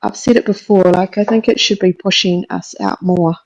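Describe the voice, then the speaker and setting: A woman's voice: on the low side, fairly even intonation, normal speed, darkish tone, muffled recording. A woman co-hosting a casual livestream chat who mostly gives short, agreeable replies. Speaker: livestream co-host.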